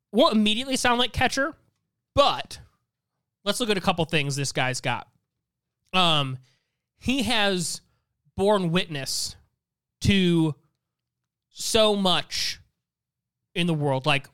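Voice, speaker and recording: A man with a high, crisp voice co-hosts a casual panel show. He thinks out loud in halting runs and circles back over his phrases. The sound is very dry, with hardly any room on it. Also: dry recording. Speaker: podcast panelist and co-host. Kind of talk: casual chat, making draft picks.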